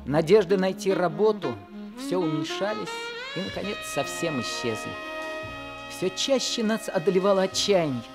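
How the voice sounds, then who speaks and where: An earnest, reflective young man narrates the story in an old radio play. Clear music plays beneath his voice. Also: high voice, very animated, normal speed. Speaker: narrator and teenage hero. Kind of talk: scripted radio drama narration.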